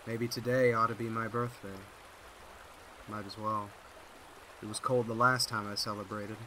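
A lone survivor in a radio drama, speaking in a quiet, weary, inward way. His tone is bright and pointed, with faint birdsong and outdoor noise behind him. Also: on the low side, level tone, normal speed, low-quality recording. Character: lonely young man surviving alone in wilderness.

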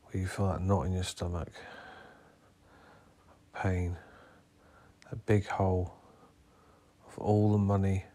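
A man leading a guided hypnotherapy meditation as a therapist, speaking in hushed, instructing, spaced-out phrases. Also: low voice, flat delivery, takes it slowly, long pauses.

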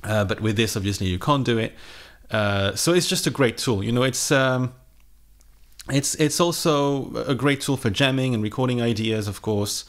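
A man's voice: mid-range voice, moderate pace. A man in his thirties chatting casually and unscripted, like a music vlogger talking to camera from his home studio. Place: small home studio room indoors. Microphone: microphone on a boom arm, close.

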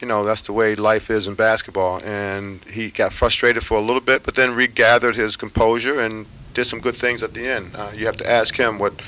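A middle-aged man, a basketball head coach, answering reporters after a game in measured, unhurried talk full of fillers and pauses. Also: on the low side, little pitch movement, bright, forceful tone, poor audio. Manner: measured, thinking aloud with many fillers. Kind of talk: postgame press conference answers. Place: press room with sponsor backdrop. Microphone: table microphones at a press conference.

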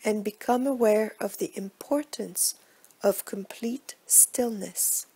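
A woman leads a guided relaxation practice as a meditation teacher. Her voice sits a little high and is breathy and soft. She speaks very slowly and in measured phrases, often letting the ends trail into a hush. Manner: slow, measured, hushed delivery with soft breathy phrase endings. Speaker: yoga nidra meditation guide.

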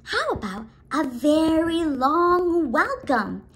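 A young woman leading an interactive preschool storytime, animated and exclaiming, coaxing listeners with questions and drawn-out words. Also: high voice. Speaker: children's storytime teacher. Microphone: phone or tablet video call, close to face. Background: music.

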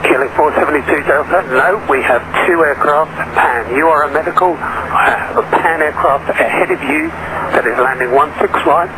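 A man's voice: a little high, sing-song, normal speed. A man working as an air traffic controller, giving instructions to pilots over aviation radio. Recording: noisy aircraft radio transmission.

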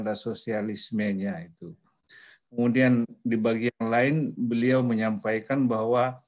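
A middle-aged man speaks slowly at a medium pitch. He puts his question to an online forum deliberately, pausing between phrases. He is on a video call from a small office. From Indonesia. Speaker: seminar participant asking questions. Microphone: video call, laptop at desk distance.

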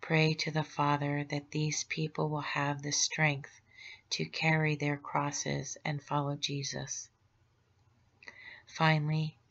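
A woman speaking as a gentle, grieving mother of Jesus in a tender, slow and solemn devotional meditation. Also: sits low, creaky, dull audio, exaggerated voice. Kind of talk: devotional meditation read aloud.

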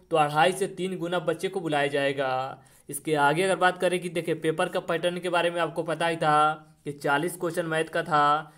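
Higher-pitched man explaining. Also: a bit bright. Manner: repetitive question-and-answer explaining. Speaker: exam coaching teacher. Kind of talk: explanatory online exam-update lesson.

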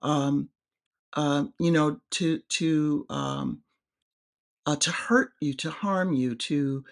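A middle-aged woman, a seminary professor, who speaks in a halting way and searches for her words during a casual theological discussion on a video call from her home office. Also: low-pitched.

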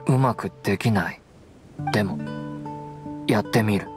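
A man voicing an earnest, protective wild boy in a Japanese anime game, speaking in halting, blunt fragments. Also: fairly low pitch, close to an everyday voice. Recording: studio voice over game music.

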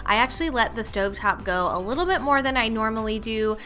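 Bright upbeat young woman narrating. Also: a little high, animated, fast talker, soft background music. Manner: upbeat, chatty product recommendations. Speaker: cleaning vlogger. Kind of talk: scripted voice-over narration.

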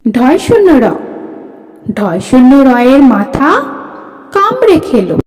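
A high-voiced woman recites alphabet rhymes for small children. She goes very slowly with lively swings in pitch, in a singsong way, and her voice rings in a long reverb. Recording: long reverb, music behind. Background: music.

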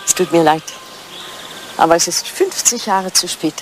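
An older woman playing a sharp-tongued, teasing English widow of high standing in a German radio play, with a dry, probing manner. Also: normal speed, background noise, close to an everyday voice.

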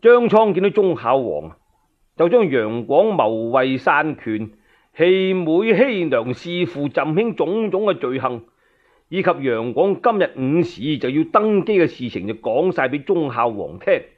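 A man with a Cantonese accent telling a historical novel as a solo storyteller, in an animated, colloquial and dramatic way. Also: fairly high pitch, unhurried. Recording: dull lo-fi radio recording.

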